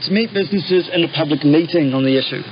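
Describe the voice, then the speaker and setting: A man's voice: fairly high pitch, fast talker. A man narrating a scripted radio news report. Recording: muffled, noisy shortwave radio.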